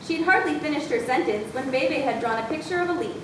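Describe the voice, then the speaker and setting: High-voiced woman narrator in echoey room. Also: expressive, fast. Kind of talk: scripted story narration, amateur readers' theatre. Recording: distant, very echoey room, noisy with rumble.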